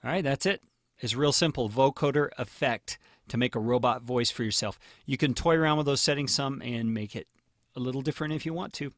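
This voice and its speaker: A man narrating a software tutorial in a casual, plain, step-by-step way. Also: mid-range voice, fairly quick, no room sound, studio quality. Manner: plain, informal step-by-step explaining. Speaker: tutorial host.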